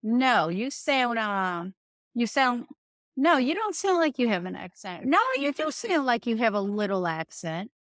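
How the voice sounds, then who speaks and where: A woman's voice: fairly high pitch, very animated, a little slow, fairly bright tone, no room sound, studio quality. A middle-aged woman from New Orleans with a local accent, telling stories in a folksy, chatty way as a podcast guest. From New Orleans, Louisiana. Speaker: guest, restaurant owner. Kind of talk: casual interview chat.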